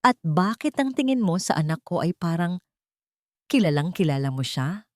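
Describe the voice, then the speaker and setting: A woman voicing a stern, anguished and suspicious wealthy father in an audio drama, with a sharp, interrogating manner. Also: lowish voice, big pitch swings, moderate pace, no echo, clean studio sound. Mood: cold suspicion.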